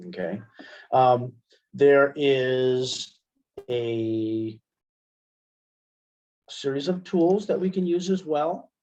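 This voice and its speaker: A man giving a casual software demonstration as an instructor, conversational and thinking aloud as he goes. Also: medium pitch, drawn-out words, long pauses. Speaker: instructor, webinar presenter. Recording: dull, band-limited webinar audio.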